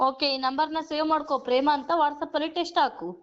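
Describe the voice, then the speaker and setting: Brief: high, rapid-fire woman recruiter, pushy sales pitch, muffled phone line. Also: fairly even intonation. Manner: pushy, repeats "okay?" to hurry the listener. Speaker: job recruiter on a phone call. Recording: muffled phone call recording.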